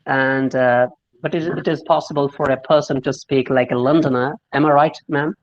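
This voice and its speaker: A man with a Malayali English accent coaches pronunciation over a video call, spelling words out and modelling sounds. His pitch sits in a middling register. The call audio is muffled and lo-fi. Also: fairly even intonation, moderate pace. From Kerala, India. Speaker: speaking coach. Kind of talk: interactive online English lesson.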